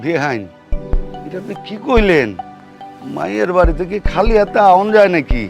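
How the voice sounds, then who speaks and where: A man's voice: high voice, expressive, normal speed, creaky, highs cut off, faint music behind. A man playing an older, humble and indignant village father in a TV drama, speaking earnestly with a rural Bangladeshi accent. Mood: hurt, indignant. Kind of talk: melodramatic Bangla TV drama acting.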